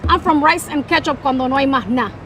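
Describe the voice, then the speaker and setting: A woman's voice: sits high, quick pace, over loud music. A woman performing a rhythmic, emphatic spoken word poem that mixes in Spanish phrases.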